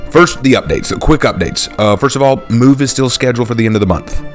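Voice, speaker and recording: A young man, talking casually and unscripted to his channel's viewers, relaxed and thinking aloud with fillers. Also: medium pitch, fast, faint music behind. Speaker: YouTube channel host.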